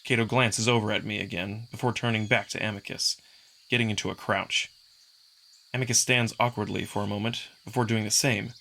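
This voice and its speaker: Gravelly, bright male narrator. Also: lowish voice, steady tone, a little fast, a little hiss or hum. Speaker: narrator and voice performer. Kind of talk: dramatic first-person visual novel narration.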